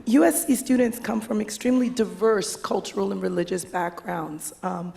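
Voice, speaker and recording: A woman moderates a panel on stage, asking a guest a long question. She speaks at a measured pace with frequent hesitations. Her voice is mid-pitched with a noticeable creak. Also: mellow.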